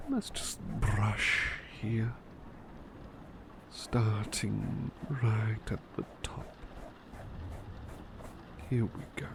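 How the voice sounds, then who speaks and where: A man playing a doting, cheeky butler in a gentle ASMR roleplay, repeating himself soothingly and often slipping toward a whisper. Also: medium pitch, gravelly, noisy background. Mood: helpful, musing. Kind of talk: ASMR personal attention roleplay.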